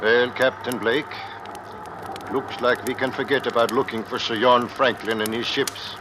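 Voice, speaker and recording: An actor playing a cautious, plain-spoken Arctic hand with folksy broken grammar in an old radio drama. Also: male voice, medium pitch, steady tone, measured pace, natural voice. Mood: resigned, worried. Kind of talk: 1950s radio drama acting. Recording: noisy old radio recording.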